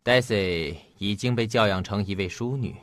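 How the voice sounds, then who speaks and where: A man's voice: fairly low pitch, moderate pace, a bit bright, no echo, studio quality, faint music behind, natural voice. An actor playing a paternal, somewhat uneasy middle-aged husband and father in a radio drama. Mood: reassuring, fatherly.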